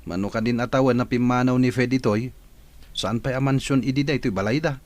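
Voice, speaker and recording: A man's voice: mid-range voice, brisk, lightly acted. Man acting a nosy, skeptical household worker. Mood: gossipy, sympathetic. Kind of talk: Ilocano radio comedy-drama acting.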